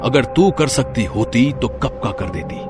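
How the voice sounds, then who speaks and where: Horror-story role: man as commanding elderly holy man, protective, very animated, music behind. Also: medium pitch, unhurried, natural voice. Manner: commanding, dramatic. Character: stern, protective old holy man, a forest mystic. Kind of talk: dramatized Hindi horror story.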